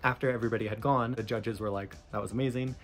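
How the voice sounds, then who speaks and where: A man's voice: mid-range voice, fairly even intonation, fast, soft background music. A man telling a personal story in a casual, chatty vlog style, often dropping "like" into his sentences. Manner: chatty, frequent "like" asides. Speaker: vlogger recounting an audition.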